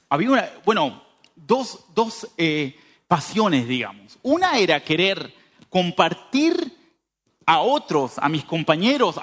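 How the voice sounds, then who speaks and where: A man's voice: high-pitched, a bit bright. A man of thirty-five with a northern Uruguayan border accent preaching informally, speaking straight to his listeners and repeating phrases for emphasis. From northern Uruguay, near the Brazilian border. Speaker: preacher at a youth church meeting. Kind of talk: conversational sermon.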